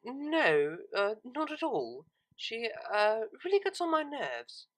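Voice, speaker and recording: A woman's voice: a little high, big pitch swings, slow pace, voice pushed up, heavily acted. A woman voicing a refined young cartoon mare who speaks formally and with cool disapproval. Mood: anxious. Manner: formal, articulate, lecturing.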